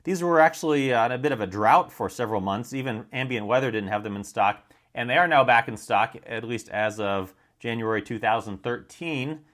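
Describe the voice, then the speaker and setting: A man's voice: mid-range voice, a little fast, fairly bright tone. Matter-of-fact American man reviewing a product. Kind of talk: informal product demonstration and review.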